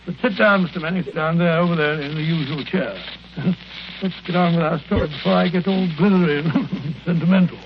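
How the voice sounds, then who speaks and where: A man's voice: a little high, brisk, lightly acted. An actor playing a genial, rambling old English doctor in 1940s radio drama, stammering and chuckling as he tells stories. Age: older. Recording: muffled, hissy 1940s radio recording.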